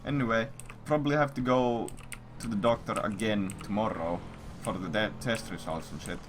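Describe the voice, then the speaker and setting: Noisy stream audio with keyboard clatter, and a man with a fairly low voice talking casually. He rambles like a streamer chatting to viewers, swearing freely and circling back to repeat his blunt remarks. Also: moderate pace. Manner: profane, blunt, repetitive. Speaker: livestreamer.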